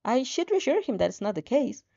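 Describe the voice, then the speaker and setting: A woman's voice: fairly high pitch, very animated, brisk, vocal fry, warm tone. A woman narrating fan fiction expressively, with casual reactions, on a slightly dull, lo-fi recording.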